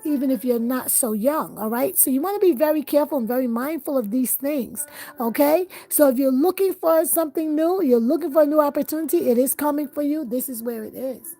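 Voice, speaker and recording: A woman's voice: high voice, fairly quick, warm tone, faint music behind. A woman hosting a live tarot reading. She talks earnestly and encouragingly, repeats her phrases and often checks in with "okay?" and "you understand?".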